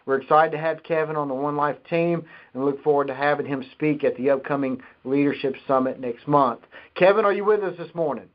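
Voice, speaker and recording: Man, call host, higher-pitched, business announcements, guest introduction, dull narrow recording. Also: a little fast, hardly any pauses. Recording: dull lo-fi conference call line.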